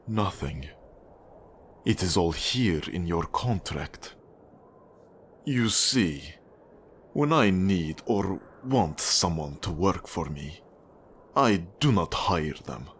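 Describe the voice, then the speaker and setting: An actor playing a cold, menacing Russian mafia boss in an ASMR roleplay, with a Russian accent and a deliberate, condescending manner. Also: male voice, sits low, lively intonation, a little hiss or hum. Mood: cold, threatening. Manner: slow, deliberate, controlling, condescending. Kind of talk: ASMR roleplay monologue addressed to the listener.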